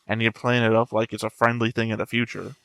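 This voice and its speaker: A man's voice: on the low side, little pitch movement, fast talker, vocal fry, fairly bright tone, clear, resonant tone, low-quality recording. A man hosting a reaction show, commenting casually and off the cuff in a wry, musing way.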